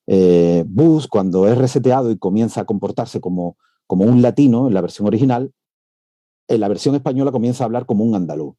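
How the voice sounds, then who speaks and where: A male professor giving an academic lecture over a video call. He speaks in a measured way, with frequent "eh" hesitations. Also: on the low side, warm tone, dry recording. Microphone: computer mic on a video call.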